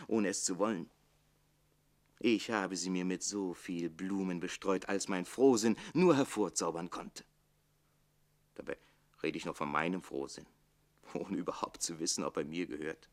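Theatrical barber-servant with a very lively delivery played by a man. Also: fairly low pitch, lightly acted. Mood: lamenting, ironic. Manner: declamatory, swinging from exuberant to hushed. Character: witty, theatrical, self-pitying barber-servant recounting his life. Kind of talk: theatrical radio drama monologue.

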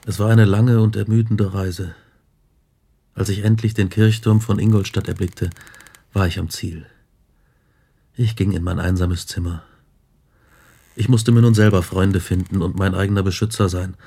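Low-voiced earnest young man. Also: fairly even intonation, moderate pace, vocal fry, pauses often, mellow, breathy edge, exaggerated voice. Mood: reflective. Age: young adult. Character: ambitious, earnest young student leaving his family. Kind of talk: radio drama acting, with first-person narration.

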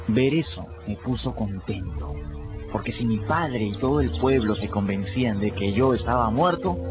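A man playing a cheeky runaway adolescent boy who narrates his river adventures in a colloquial, animated radio drama style. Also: medium pitch, close to an everyday voice. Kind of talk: radio drama storytelling in first person. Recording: muffled radio production with music behind.

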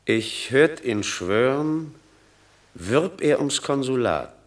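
An actor playing a scheming Roman tribune of the people in a radio play, speaking with scorn. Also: male voice, medium pitch, lively intonation, takes it slowly. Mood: scornful. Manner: scornful, wordy descriptive speech. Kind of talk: classic radio play acting.

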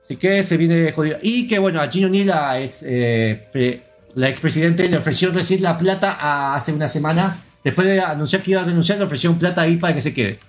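An Argentine man hosts a relaxed gaming podcast in a somewhat high voice, rambling from one news item to the next and reading listener comments aloud. Soft music plays faintly underneath. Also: brisk. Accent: Argentine Spanish. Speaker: podcast host. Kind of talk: casual gaming news chat.

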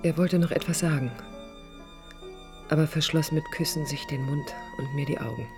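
An actress playing a wistful, jealous young dreamer in love in a 1980s radio play, speaking in a hushed, introspective way. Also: female voice, low-pitched, huge pitch swings, normal speed. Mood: hushed longing. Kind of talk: 1980s radio play, intimate first-person narration. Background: music.

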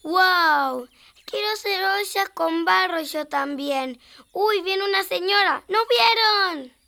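Little girl, Argentine accent, very high bright voice, curious, stubborn, childlike, children's radio play. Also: animated, slow, gravelly, full character voice. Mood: excited. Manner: childlike, eager questioning. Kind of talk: children's radio theatre acting.

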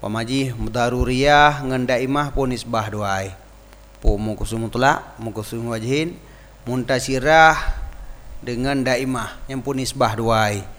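A man with an Acehnese accent speaks as an Islamic teacher in a very reverberant space. His voice sits at a middle pitch. As a native Acehnese speaker, he moves between measured reading of a religious text and informal explanation. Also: a bit bright. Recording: reverberant hall-like echo.

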